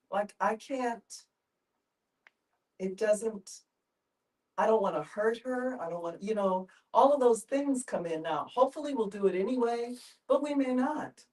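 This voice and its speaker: An older woman talks in a group discussion, picked up from some distance. She is reflective and halting, often searching for the right words. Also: medium pitch, darkish tone. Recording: distant microphone.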